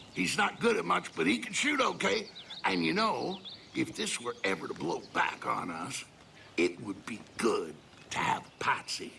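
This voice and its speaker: A crude, scheming small-time politician for a game cutscene. His drawl is sharp and heavily gravelly, swinging widely in pitch as he rambles and hints. There is noise behind him. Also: full character voice. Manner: folksy, crude, sly rambling with heavy hints. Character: folksy, shameless, scheming male politician. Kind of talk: video game cutscene acting.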